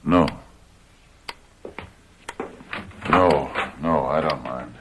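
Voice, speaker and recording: An actor playing a weary, sickly, timid husband worn down by work, halting and gravelly, in an old radio mystery drama. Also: male voice, a bass voice. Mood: timid, pained. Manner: hesitant, halting, defeated. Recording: noisy old radio recording.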